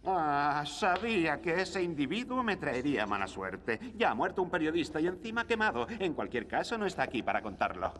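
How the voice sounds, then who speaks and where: An actor playing a grim, wry morgue keeper who grumbles about bad luck, in a cartoon dub. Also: male voice, fairly high pitch, very animated, a little fast, few pauses, a bit bright, slight background noise. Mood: grumbling.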